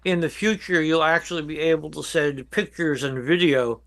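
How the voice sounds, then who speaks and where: An older man with an American accent giving measured, emphatic advice as an expert guest in an interview. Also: a little high, steady tone, a bit bright. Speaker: guest expert, veteran emergency dispatch professional. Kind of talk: interview answers, explaining and advising.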